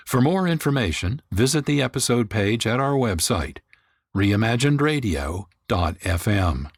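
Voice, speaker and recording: A man's voice: lowish voice, animated, measured pace. A man hosting a radio programme with scripted narration. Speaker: host.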